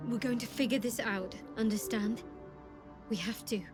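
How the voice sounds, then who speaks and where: An actress voicing a guarded young half-elf cleric in a fantasy game, dry and soft-spoken, tender yet resolute. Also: female voice, a little high, steady tone, moderate pace, natural voice. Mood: wry, resolute. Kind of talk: video game dialogue acting. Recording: music behind the voice.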